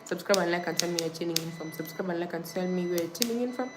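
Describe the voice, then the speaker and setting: A woman's voice: on the low side, quick pace, very noisy. A young woman from Kenya hosting a casual livestream, reading viewer comments and repeating thanks and subscribe reminders. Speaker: livestream host. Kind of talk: casual live-stream chat with viewers.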